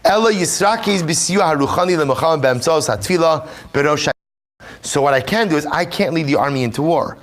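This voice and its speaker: A man's voice: fairly high pitch, moderate pace. A man teaching a Torah class as a rabbi. He reads Hebrew source text aloud, explains it in English, and repeats his key points for emphasis.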